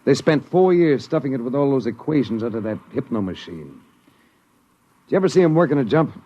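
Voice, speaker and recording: A man's voice: medium pitch, animated, brisk, close to an everyday voice. An actor playing a cynical, talkative male spaceship crewman with a colloquial, sardonic manner, in 1950s radio drama style. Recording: muffled 1950s radio recording.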